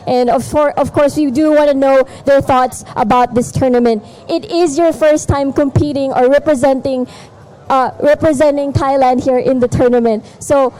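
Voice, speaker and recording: High-pitched upbeat female esports interviewer. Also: fairly even intonation, moderate pace, mellow, poor audio. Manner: upbeat, with small verbal stumbles. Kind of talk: post-match interview hosting.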